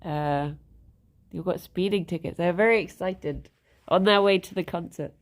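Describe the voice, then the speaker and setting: A woman's voice: on the low side, normal speed. A woman with a stuffed-up nose, chatting casually with her live chat, greeting viewers by name and giggling often. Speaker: streamer.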